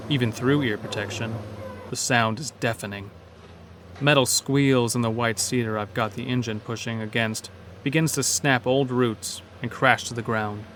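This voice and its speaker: A man's voice: mid-range voice, noisy background. A man narrating a scripted first-person horror story as an uneasy logging worker.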